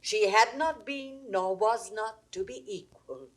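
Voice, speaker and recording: A woman playing a forthright, reproving mature noblewoman in Shakespearean verse, with a deliberate, stern delivery. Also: medium pitch, natural voice, low-quality recording. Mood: reproachful. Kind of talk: classical Shakespearean stage acting.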